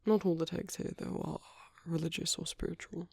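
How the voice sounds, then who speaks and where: A man voicing a kindly, caring British vampire priest in a soft ASMR roleplay, breathy and often near a whisper, with a hesitant, politely formal way of talking. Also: a little high, steady tone, normal speed, no room sound, clean studio sound. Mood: soft, reflective.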